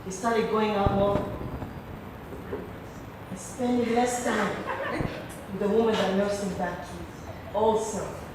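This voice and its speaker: A woman playing an imperious, scheming middle-aged wife and mother in a stage play, theatrical and deliberate. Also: medium pitch, fairly even intonation, close to an everyday voice. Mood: mocking, calculating. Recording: distant, echoey, noisy theatre recording.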